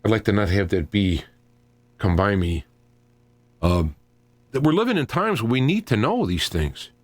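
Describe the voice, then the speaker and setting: Male talk radio host, middle-aged, lowish register, dry sound. Informal solo monologue, halting and digressive delivery. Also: big pitch swings, dry recording. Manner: halting, digressive, thinking aloud.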